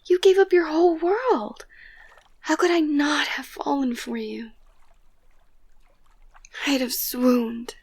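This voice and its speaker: Woman acting a high-voiced, tender young fairytale princess. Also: fairly even intonation. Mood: loving. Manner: breathy, halting, often drops to whisper. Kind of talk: romantic ASMR roleplay acting.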